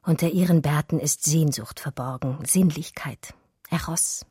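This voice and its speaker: A dreamy romantic woman art historian, husky intimate radio play narration, bone dry sound. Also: sits low, unhurried, warm tone, no echo. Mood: dreamy, reflective. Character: romantic, daydreaming female art historian recalling an infatuation. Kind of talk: radio drama first-person narration, literary.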